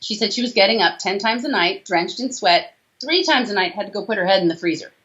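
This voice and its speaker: A woman's voice: mid-range voice, expressive, fast, fairly bright tone. A woman in her forties speaking as a doctor in a conversational interview, talkative and emphatic, listing details over a video call. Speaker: doctor and author, interview guest. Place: small room indoors, video call. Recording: slightly dull video-call audio.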